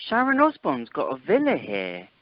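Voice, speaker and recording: Woman doing sheepish evasive tour rep guy, lowish swingy voice, amateur radio comedy, dull phone sound. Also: huge pitch swings, moderate pace, dry recording, exaggerated voice. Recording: dull telephone conference line.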